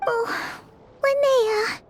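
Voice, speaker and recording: Eine Frau spricht in einem Videospiel eine winzige, neugierige, besorgte Begleiterin mit ausdrucksvoller, fragender Art. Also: female voice, extremely high pitch, steady tone, drawn-out words, slight background noise, exaggerated voice. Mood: concerned. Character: tiny high-voiced female companion, curious and fretful. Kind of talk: video game voice acting. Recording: loud music under the voice.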